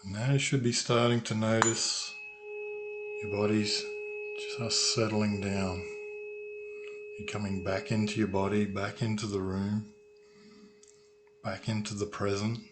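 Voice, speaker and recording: A man leading a guided meditation as a calm, unhurried instructor, leaving long pauses between phrases. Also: fairly low pitch. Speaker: meditation guide, sound healer. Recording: noisy recording with ringing tuning forks. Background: humming tuning forks.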